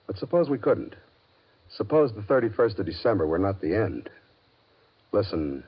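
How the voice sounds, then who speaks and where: A man's voice: fairly low pitch, breathy edge. A man giving a formal, solemn, literary introduction as host of a 1950s radio drama. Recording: dull 1950s radio recording.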